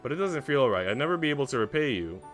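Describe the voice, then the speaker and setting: A man's voice: mid-range voice, sing-song, fast talker, fairly bright tone, faint music behind. A man reading the part of an anxious, modest young maid in a visual novel, in an earnest, hesitant manner. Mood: protesting.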